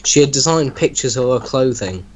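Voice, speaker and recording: A man's voice: medium pitch, lively intonation, fairly quick, a little hiss or hum, rough recording. A man giving a dramatic reading of an amateur story, breaking off into casual, mocking banter. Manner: breaks off to mock the text he reads. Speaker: reader in an online reaction group.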